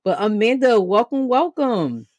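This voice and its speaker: A woman's voice: a little high, big pitch swings, measured pace, a little mellow, no echo, studio quality. A woman hosting an interview podcast, welcoming her guest enthusiastically and laughing easily. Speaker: podcast host. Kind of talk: conversational interview.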